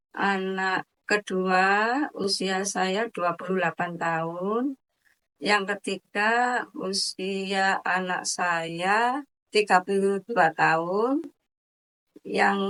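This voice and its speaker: A woman's voice: mid-range voice, a bit bright. A woman in her fifties giving short, plain answers in an interview. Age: 50s. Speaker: interviewed mother.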